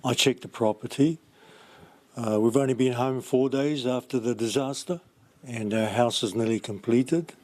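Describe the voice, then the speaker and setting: Mid-pitched New man being interviewed. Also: normal speed, darkish tone. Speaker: local resident interviewed. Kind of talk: spontaneous news interview.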